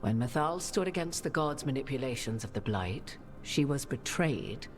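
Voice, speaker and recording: A woman playing an imperious, enigmatic sorceress in a fantasy game, with archaic, measured and knowing speech. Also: rumbling low, animated, faint music behind. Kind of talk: dramatic fantasy game dialogue.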